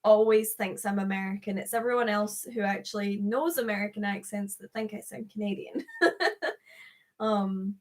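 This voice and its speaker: A woman's voice: mid-range voice, moderate pace, a little mellow. Young glasgow woman chatting on a livestream, laughs a lot, acts out quotes. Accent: Glaswegian Scottish. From Scotland. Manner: chatty, laughs often, breaks into acted-out quotes. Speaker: livestream co-host. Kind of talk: casual chat.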